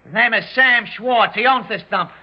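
A man's voice: high voice, normal speed, breathy edge. An actor playing a cocky, jumpy young gunman with tough, slangy threats in an old-time radio drama. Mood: belligerent. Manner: tough slangy street talk, barked threats. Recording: muffled old radio recording.

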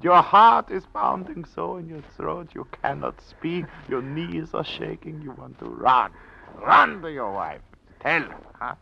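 An actor playing an eccentric, exacting old music master in a radio drama, fussy and emphatic in broken phrases. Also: male voice, a little high, lively intonation, unhurried, vocal fry, bright, forceful tone, full character voice. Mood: brisk, excited. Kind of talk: old-time radio acting. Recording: dull vintage radio with faint hiss.

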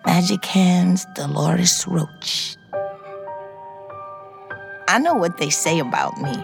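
A woman's voice: on the low side, slow pace, gravelly, music in the background, exaggerated voice. An actress playing a streetwise middle-aged female ex-convict, telling her story in a profane, intense, conversational audio drama monologue. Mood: menacing, confiding. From New York City, United States.

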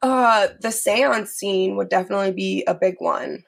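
A woman co-hosting a live-streamed fan podcast, hoarse as she gets over an illness, recapping scenes in a chatty way. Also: mid-range voice, normal speed. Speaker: podcast co-host. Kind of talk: casual chat.

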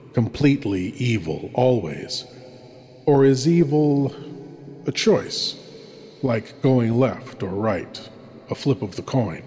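A man playing a sinister horror host, delivering a theatrical scripted introduction with intimate, ominous, deliberate phrasing. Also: mid-range voice, a little mellow, lightly acted. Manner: slow, dramatic, deliberate pauses. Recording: long cavernous reverb.

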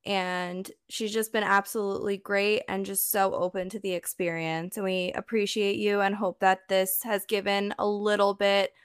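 A woman co-hosting a podcast, slightly hoarse from a cold, laughing between sentences. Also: mid-range voice. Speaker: podcast co-host. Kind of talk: podcast outro.

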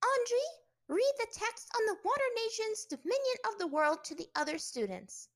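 A prim, strict schoolteacher with greying hair, her voice pitched up high and loud as she scolds the class, put on by a woman reading a story. She snaps her words out sharply and sternly. Her tone rises and falls with indignation. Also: sing-song, moderate pace, full character voice. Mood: scolding.

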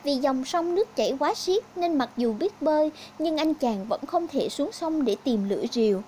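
A woman's voice: sits high, animated, normal speed, mellow, a little hiss or hum. A woman narrating scripted fairy tales for young children as a storyteller.

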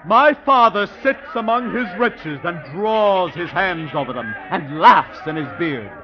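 An actor playing a defiant, ragged young son of a rich villager, declaiming as if to a crowd in a 1950s radio drama. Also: male voice, sits high, animated, a little slow. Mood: loudly aggrieved. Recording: dull old radio broadcast recording.

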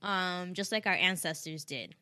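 A young woman hosting a podcast, telling stories in a lively, casual way and often checking in with "okay?". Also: fairly low pitch, moderate pace, clean tone. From Dominican heritage, raised in the United States. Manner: animated storyteller, punctuates with "okay?". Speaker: podcast host. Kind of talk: casual chat.

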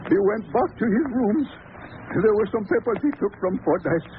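Very high-pitched, guarded foreign informant. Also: male voice, expressive. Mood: guarded, ominous. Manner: halting syntax, drops articles, guarded. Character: guarded foreign shopkeeper and informant with clipped grammar. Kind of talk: old-time radio drama acting. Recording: tinny, noisy old radio recording.

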